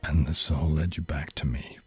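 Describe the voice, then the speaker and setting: Guy doing a gravelly-deep tired noir detective, slow and husky, soft music under it. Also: fairly even intonation. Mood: brooding. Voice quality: very deep, husky, low murmur. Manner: slow, brooding, half-whispered delivery. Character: hard-boiled male noir detective, world-weary and cynical. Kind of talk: ASMR noir audio drama narration.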